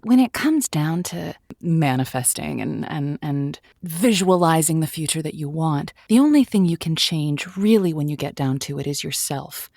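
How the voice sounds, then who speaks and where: A woman's voice: deep voice, lively intonation, normal speed, vocal fry, few pauses, darkish tone, no echo, clean studio sound, heavily acted. A woman acting as an earnest, encouraging, slightly exasperated romantic advice host in a comedic audio drama, given to quaint pep talk. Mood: tactful, taken aback.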